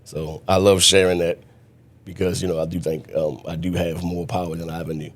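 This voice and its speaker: Deep, flat-voiced man speaking earnestly. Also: a little fast. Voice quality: loud voice. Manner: earnest, reflective, restarts often. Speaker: guest mentor. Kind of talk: conversational interview.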